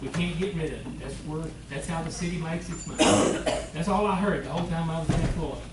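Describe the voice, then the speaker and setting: An older man on a city council, speaking loudly and earnestly in defense of himself at a meeting. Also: on the high side, quick pace. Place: council chamber. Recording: echoey room, far mic, noisy.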